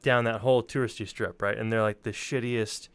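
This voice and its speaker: A man's voice: fairly low pitch, fairly even intonation, brisk, clear, resonant tone, dry recording. A man telling anecdotes in casual chat, rambling informally and swearing freely. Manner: informal, profane, rambling storyteller.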